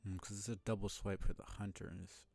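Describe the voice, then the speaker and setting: A man's voice: fairly low pitch, flat delivery, moderate pace, a little mellow. A man giving casual live gaming commentary, thinking aloud as he plays and chatting with viewers. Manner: musing aloud while playing, chatty with viewers. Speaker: live game streamer.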